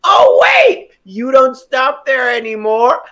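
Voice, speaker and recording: A man's voice: very high voice, very animated, slow, pushed and bright. A man with a German accent, a drag queen guest on a video call, trading campy insults with laughter. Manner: sassy, laughing, campy delivery of example insults. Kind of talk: playful video call chat.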